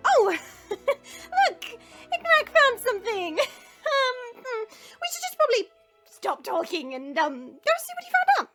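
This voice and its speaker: Woman playing a high-voiced, formal dragon princess. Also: big pitch swings, slow, bright, forceful tone, faint music behind, full character voice. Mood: flustered. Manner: formal, stately phrasing. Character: powerful fire-wielding dragon princess, formal, proud, protective. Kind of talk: audio drama acting.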